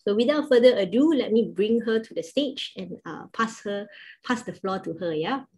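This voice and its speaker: A woman's voice: on the high side, expressive, normal speed, darkish tone, slight background noise, low-quality recording. Young woman host reading an introduction. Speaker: event host. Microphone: headset on video call.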